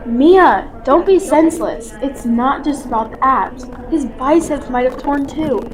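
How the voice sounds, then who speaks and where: Radio play role: sassy, melodramatic young gossip played by a woman, high voice, distant mic, shallow and dramatic. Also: measured pace. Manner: sassy, melodramatic girl talk. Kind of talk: amateur radio play acting. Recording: roomy, far from mic, crowd behind.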